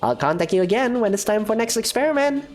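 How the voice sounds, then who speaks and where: A woman's voice: a little high, animated, fast, a little mellow, pitched above the performer's own voice. A woman voicing a cheerful, reckless female professor who lectures breezily and teases her assistant. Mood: teasing. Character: eccentric, cheerful female dust science professor. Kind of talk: fan game voice acting.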